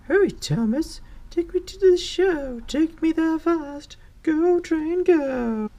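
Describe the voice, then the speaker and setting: A woman voicing an impatient, hurried lady judge from a children's storybook in an urgent, exclamatory way. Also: very high-pitched, lively intonation, warm tone, pitched above the performer's own voice, heavily acted.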